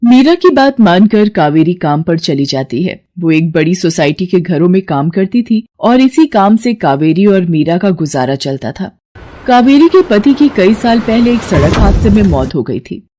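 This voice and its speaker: A woman narrating a moral story in a calm, measured way. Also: fairly low pitch.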